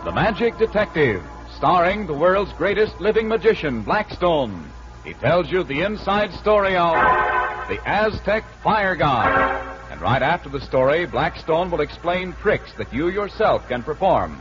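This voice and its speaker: A man's voice: fairly high pitch, big pitch swings, a little slow, a bit bright. A man announcing a radio show in a proclaiming, theatrical 1940s style over music, on a muffled old recording.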